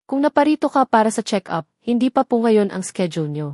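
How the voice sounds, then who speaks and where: A woman's voice: a little high, very animated, brisk, darkish tone. A woman storyteller acts out a female doctor in a love story. She is formal, polite and restrained, keeping her guard up. The sound is dry and free of room echo. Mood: cold, formal. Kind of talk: storybook romance dialogue.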